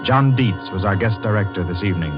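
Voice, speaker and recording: A man announcing for a network radio show in a formal, crisp and measured 1940s style. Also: fairly low pitch, over loud music. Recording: dull 1940s radio broadcast.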